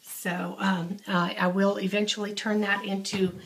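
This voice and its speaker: A woman's voice: medium pitch, flat delivery, a little slow, a bit bright. A woman giving a casual home tour of her laundry room, talking while filming with a handheld camera, with many ums and restarts. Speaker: home organizing vlogger. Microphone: handheld camera, off screen. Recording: echoey small tiled room.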